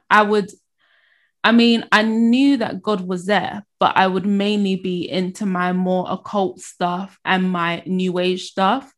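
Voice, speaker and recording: A woman telling her faith story in casual conversation, often pausing and restarting her sentences. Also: medium pitch. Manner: halting, restarts, laughs while telling.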